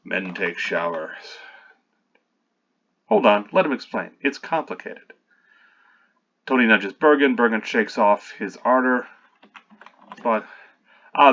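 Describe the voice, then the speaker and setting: A man's voice: medium pitch, fairly bright tone, muffled recording. A man reading his own screenplay aloud while revising it, stopping often to correct himself and add wry asides.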